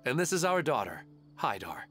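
Earnest father, lively intonation. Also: medium pitch, normal speed, faint music behind. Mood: pleased, wistful. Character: kindly adult father, wistful dreamer. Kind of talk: game voice acting.

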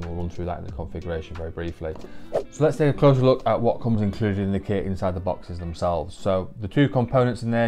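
Low-pitched young British man explaining. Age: young adult. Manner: plain-spoken, step-by-step explaining. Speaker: technical manager presenting a product walkthrough. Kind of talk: informal instructional product demonstration. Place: indoor office, seated at a table.

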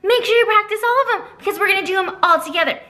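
A woman's voice: extremely high pitch, rapid-fire. A woman in her thirties, a children's librarian, leading a storytime with songs and a picture book, gasping and gesturing with big expression, recorded on a webcam in a small library room. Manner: animated, exaggerated gasps and expressive gestures. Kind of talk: children's storytime reading and singing.

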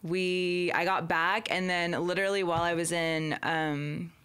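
Young woman, American accent, smooth clear tone, lower pitch, podcast guest, casual chat. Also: little pitch movement, unhurried, a bit bright. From Oklahoma, United States. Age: young adult. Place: indoor podcast room with poster wall. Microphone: desk microphone.

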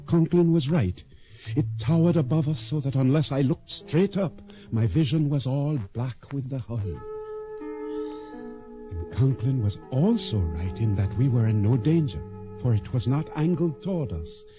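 An actor playing a cautious, uneasy boat owner who recounts a sea ordeal in measured, formal radio drama narration. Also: male voice, medium pitch, expressive, over music, natural voice. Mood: solemn, awed.

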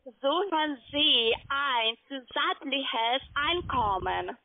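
High creaky foreign-accented woman on phone. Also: lively intonation, no room sound. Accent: foreign accent in German. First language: not German. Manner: halting, broken grammar. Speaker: call centre sales agent. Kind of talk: phone sales pitch. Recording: narrow crackly phone line, noisy.

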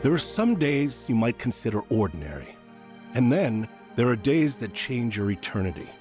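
An actor playing an earnest, eager, polite street pastor in a radio drama. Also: male voice, mid-range voice, lightly acted. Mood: reflective. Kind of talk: radio drama acting with first-person narration.

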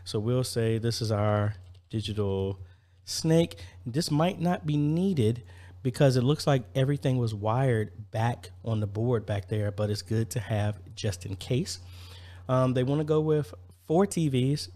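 Man, thirties, mid pitch, informal vlog walkthrough, practical, explanatory, thinking aloud. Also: unhurried, dark tone. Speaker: church audio-video installer and vlogger.

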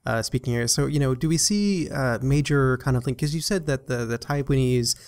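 A man's voice: medium pitch, normal speed, darkish tone. Male podcast host, mid-range voice, loose conversational questioning. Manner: asks questions with many restarts and fillers.

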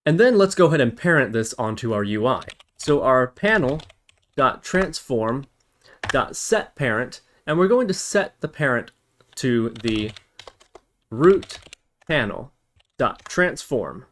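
A man's voice: mid-range voice, very animated, a little slow, fairly bright tone, a little hiss or hum. A man narrating a programming tutorial, explaining step by step and thinking aloud as he goes. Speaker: tutorial host.

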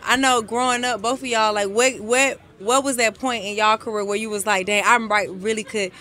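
A woman's voice: fairly high pitch, steady tone, normal speed, pushed and bright. A woman hosting a casual podcast interview in an upbeat, hype way. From Atlanta, USA. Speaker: host. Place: indoor studio set. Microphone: boom mic.

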